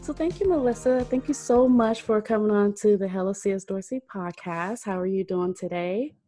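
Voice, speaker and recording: A woman's voice: mid-range voice, moderate pace, warm tone, faint music behind. A woman hosting a podcast, chatting enthusiastically with her guest and laughing often. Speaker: podcast host. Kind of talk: casual interview chat.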